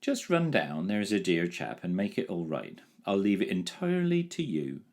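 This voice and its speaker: A man's voice: sits low, wildly swooping pitch, normal speed, a little mellow, pitched above the performer's own voice, heavily acted. A man voicing a lazy, plaintive old dragon. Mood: drawling. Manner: plaintive, drawling. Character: lazy, timid, fussy male dragon.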